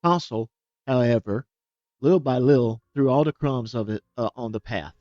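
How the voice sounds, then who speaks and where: A man reading a fairytale aloud as a storyteller, steady and plain, now and then restarting a word. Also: mid-range voice, normal speed, no echo, lo-fi sound.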